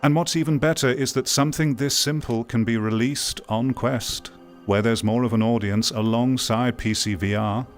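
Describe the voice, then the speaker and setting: A man's voice: medium pitch, fairly even intonation, moderate pace, faint music behind. A man hosting a VR gaming stream, talking to his viewers in an earnest, emphatic way and repeating phrases for stress. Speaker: VR games streamer and video host. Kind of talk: live game commentary talking to viewers.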